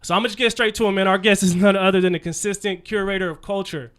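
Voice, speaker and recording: Male podcast host, quick and smooth, slightly high voice, hype and casual. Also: steady tone. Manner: upbeat, hype, lots of "man" and "shout out". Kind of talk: casual interview chat.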